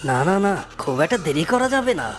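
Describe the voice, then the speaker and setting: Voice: mid-range voice, very animated, quick pace, faint music behind, close to an everyday voice. An actor voicing a greedy, mocking fox villain in a cartoon, with a taunting, bullying manner. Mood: scheming. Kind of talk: cartoon character dialogue.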